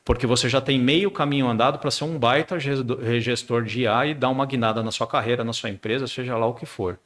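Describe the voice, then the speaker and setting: A man's voice: mid-range voice, fast talker, fairly bright tone. A middle-aged man, a guest on a business podcast, explaining in casual chat and often repeating words while he searches for the next one.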